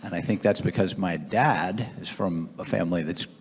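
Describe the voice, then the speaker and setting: A man in his fifties from Boston with an American accent, telling his own story as an interview guest in a measured, reflective way. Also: low-pitched, a little hiss or hum. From Boston area, United States. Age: 50s. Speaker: guest, investor. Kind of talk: conversational personal storytelling on stage. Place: large event room at a gathering. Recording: echoey hall with long reverb.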